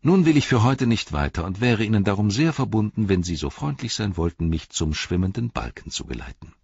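Male reader as a dignified, dryly ironic hobbit, slightly raised, husky. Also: lowish voice, very animated, a little fast, a little mellow, no room sound, dull audio, pitched above the performer's own voice, exaggerated voice. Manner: cool, dry, ironic.

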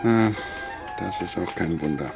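An actor playing a nervous, stubborn railway engineer in his forties in a German radio play, halting and self-justifying, with music under him. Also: deep voice, creaky. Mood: brisk, fussy. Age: 40s. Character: nervous, stubborn forty-year-old railway engineer, male.

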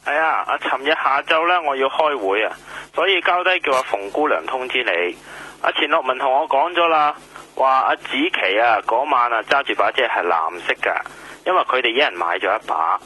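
An actor playing a chatty, helpful church pastor in a radio drama, speaking haltingly with a Hong Kong Cantonese accent. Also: male voice, medium pitch, lively intonation, bright, forceful tone, slightly rough, low-quality recording. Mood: chatty, explaining. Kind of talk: radio drama acting, conversational.

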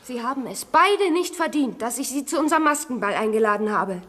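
A proud young lady in a radio drama, speaking outspokenly and with command. The voice is on the high side with a breathy husk, in a long reverb. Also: expressive, brisk, a bit bright, faint music behind. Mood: indignant. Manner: imperious, outspoken. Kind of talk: German radio play acting.

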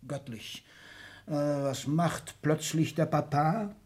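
An actor playing a raging, gloating old tycoon father in a theatrical 1960s German radio play, ranting from gasps to shouts. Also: on the high side, slow pace. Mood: gloating, mocking. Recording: echoey room, distant from microphone.

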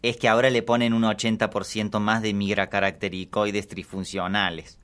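A man playing an earnest, pedantic explainer in a deadpan absurd radio comedy sketch. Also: lowish voice, moderate pace, lightly acted.

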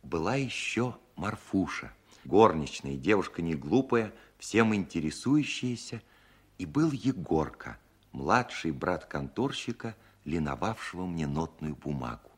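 Narrator: reminiscing grown man, radio play, husky low voice, varied intonation. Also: very animated, a little slow, exaggerated voice. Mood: reflective. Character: grown man reminiscing about his childhood. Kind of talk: scripted autobiographical narration in a 1970s radio play.

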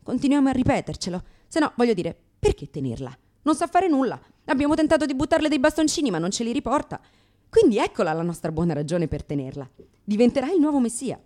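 A woman's voice: a little high, big pitch swings, fast, a little mellow. An actress in a radio theatre monologue playing a brash, sarcastic, exasperated new mother in her thirties. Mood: sardonic. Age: 30s.

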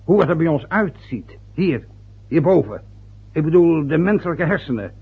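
A resentful, brooding old father played by a man in a vintage radio drama. His voice is gravelly and husky. The old recording sounds muffled. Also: a little high, animated, moderate pace, exaggerated voice. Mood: bitter. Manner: bitter, brooding, short of breath later. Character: aging working-class father, bitter and ill.

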